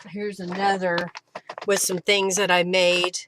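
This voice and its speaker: A woman's voice: fairly low pitch, little pitch movement, a little slow. Casual woman crafting on a noisy livestream. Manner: informal, talks to herself and viewers while working. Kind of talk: casual step-by-step craft demonstration, thinking aloud. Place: live stream while working with craft materials.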